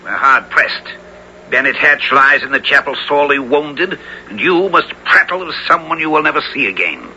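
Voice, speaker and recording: An actor playing a cold, ruthless lord with a curt, imperious manner in a radio drama. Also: male voice, on the high side, lively intonation, normal speed, pushed and bright, poor audio. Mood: grim, curt. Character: ruthless, grim knight lord, commanding and cold. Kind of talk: 1970s radio drama acting. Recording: faintly hissy old radio broadcast.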